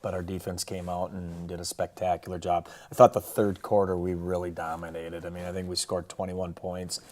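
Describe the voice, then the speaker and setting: A man with an American accent, a football coach talking casually through a game recap in a plainspoken, halting way. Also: sits low, level tone, darkish tone. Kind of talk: casual sports recap and highlight commentary.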